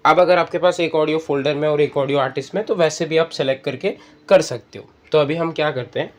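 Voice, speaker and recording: Higher-pitched man teaching step by step. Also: fast talker. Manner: instructional, explains step by step, addresses viewers as friends. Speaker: tutorial host. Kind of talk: spoken screen-reader app tutorial.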